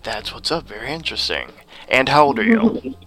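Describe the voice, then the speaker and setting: A man's voice: mid-range voice, wildly swooping pitch, a bit bright, faint music behind. A man hosting an interview show, asking questions in an upbeat, enthusiastic way. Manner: enthusiastic, quick to say "fantastic" and "awesome". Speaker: interview host.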